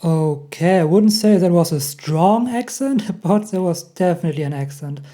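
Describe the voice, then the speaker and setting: A young man with a German accent, hosting a casual software tutorial and chuckling at the results. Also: sits high, animated, normal speed. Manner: chatty, laughs often at results. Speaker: tech tutorial host. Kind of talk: casual screen-recorded tutorial.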